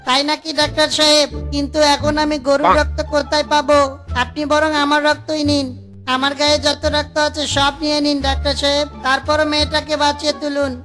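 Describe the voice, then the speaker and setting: A cartoon fox husband voiced by a woman, high in pitch and narrow in range. He is poor, simple and kind, with an earnest, plaintive way of talking. Music plays clearly behind him. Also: completely flat delivery, a little fast, fairly bright tone. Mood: earnest, naive. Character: poor, simple-minded, kind-hearted male fox auto-rickshaw driver. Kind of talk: children's cartoon voice acting.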